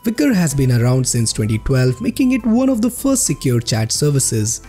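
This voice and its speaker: Male tech presenter, animated scripted read, slightly husky, music underneath. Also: medium pitch, moderate pace. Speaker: host of a tech review channel. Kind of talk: scripted narration of an app roundup video. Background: background music.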